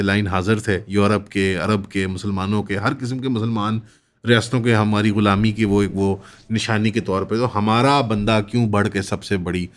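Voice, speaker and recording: A man with a Pakistani accent giving political commentary as an analyst, in long winding sentences with English terms mixed in. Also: lowish voice.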